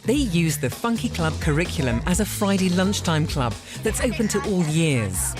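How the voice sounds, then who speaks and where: A woman narrating a scripted voice-over in a clear, lively, informative way. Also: lowish voice, moderate pace. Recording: music bed behind voice.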